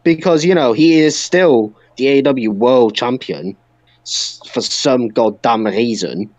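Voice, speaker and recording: A man's voice: mid-range voice, measured pace, darkish tone, no room sound. A man co-hosting a wrestling podcast, talking in an emphatic, opinionated, ranting way. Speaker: podcast co-host.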